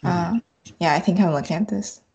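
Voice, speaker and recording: A woman's voice: on the low side, normal speed, mellow, highs cut off. A woman chatting casually as a participant on a podcast, with faint noise and clicks on the recording. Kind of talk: casual chat.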